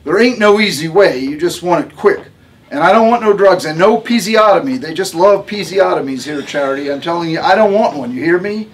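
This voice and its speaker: A man voicing a bold, earthy woman in labor, a mother of many children, who talks in a loud, bossy, folksy drawl. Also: sits high, lively intonation, fairly quick, voice pushed up. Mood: insistent.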